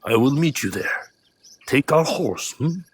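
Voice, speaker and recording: Husky, fatherly shinobi mentor. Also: medium pitch, expressive, unhurried. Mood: fatherly, gentle. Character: seasoned male shinobi mentor, fatherly and patient. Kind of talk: video game cutscene acting.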